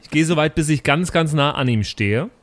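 A man's voice: on the high side, animated, brisk. A man talking casually as a player in an improvised pen-and-paper role-play. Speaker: player in a tabletop role-playing show. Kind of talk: casual improvised table talk.

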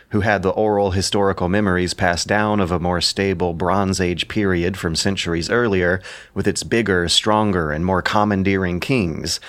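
Deep-voiced man delivering scripted commentary. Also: no room sound. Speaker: narrator and commentator. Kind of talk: scripted explanatory commentary, like a history essay.

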